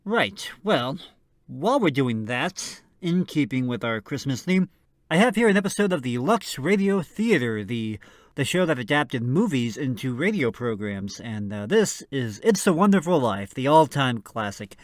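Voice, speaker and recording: A man co-hosting a radio show with casual banter, speaking in a hesitant, halting way. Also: medium pitch, very animated, dry recording, studio quality. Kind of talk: casual scripted banter and introduction.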